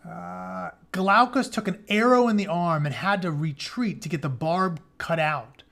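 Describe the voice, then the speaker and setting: A man with a fairly high voice reads an epic tale aloud in the role of a teacher. He gives the written text a dramatic delivery, then shifts into plain, informal paraphrase to explain it. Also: measured pace, creaky, a bit bright. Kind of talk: read-aloud of an epic retelling with casual asides.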